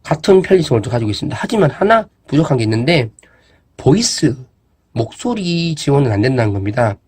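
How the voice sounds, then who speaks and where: Husky-voiced man chatting through a gadget demo. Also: mid-range voice, fairly quick. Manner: relaxed, chatty, thinks aloud with fillers and asides. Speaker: product reviewer. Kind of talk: casual product demo vlog.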